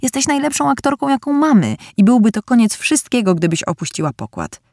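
A woman voicing a bold, tomboyish teenage girl who speaks briskly and firmly. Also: fairly low pitch, darkish tone, dry recording, clean studio sound, close to an everyday voice. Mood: lively. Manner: brisk, firm and enthusiastic.

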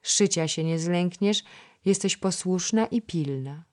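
A woman voicing a middle-aged royal princess who gives calm orders and speaks kindly. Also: on the low side, unhurried, pitched above the performer's own voice, lightly acted. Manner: calm, commanding yet kind.

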